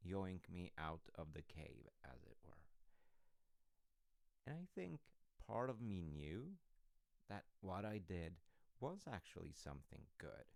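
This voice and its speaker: A man performs an affectionate storytelling dad in an intimate comfort audio roleplay. His deep voice moves slowly and haltingly, musing gently as he reassures his grown child. The sound is close and dry. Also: gravelly, no echo, full character voice. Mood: reflective.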